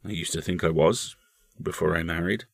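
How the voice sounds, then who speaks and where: A man voicing a bitter, evasive mother who gives measured, resigned answers in a storybook reading. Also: low-pitched, steady tone, gravelly, no room sound, clean studio sound.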